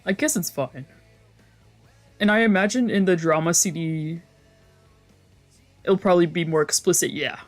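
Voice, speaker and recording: A woman streamer playing a visual novel, mixing casual, slangy, expressive commentary with reading the game text aloud. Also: lowish voice, moderate pace, pauses often. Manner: expressive, reactive, exclamatory, slangy. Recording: music playing behind the voice.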